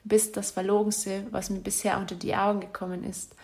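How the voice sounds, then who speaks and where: A woman's voice: mid-range voice, level tone, fairly quick, gravelly, darkish tone. A woman reading out a letter she wrote to her former partner, in a bitter, accusatory and insulting way. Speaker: spurned ex-partner.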